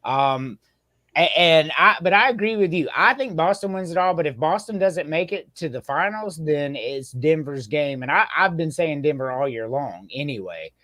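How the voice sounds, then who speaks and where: Man, podcast host, rambling, animated pitch, dry close sound. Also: moderate pace, dry recording. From American South. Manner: rambling, hedging, easygoing. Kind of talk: casual sports podcast chat.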